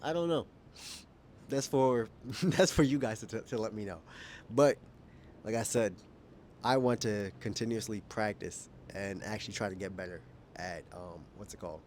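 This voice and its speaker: A man's voice: on the low side, moderate pace, darkish tone, slight background noise. A young man from Kenya with an American accent, talking casually to camera on a rooftop, rambling and correcting himself as he goes. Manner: rambling, self-correcting, laughs often. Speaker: vlogger. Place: rooftop outdoors.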